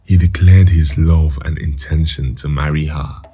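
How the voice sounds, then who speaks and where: A man narrating a folktale as a scripted story, in a measured, dramatic manner. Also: rumbling low, steady tone, warm tone, slightly rough.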